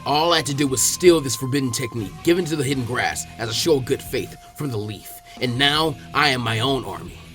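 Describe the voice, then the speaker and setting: A man voicing an arrogant, cold adult male rogue ninja in a storybook reading, with a smug, deadpan sneer. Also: mid-range voice, fairly quick, hardly any pauses, faint music behind, voice pushed down, close to an everyday voice. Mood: boastful.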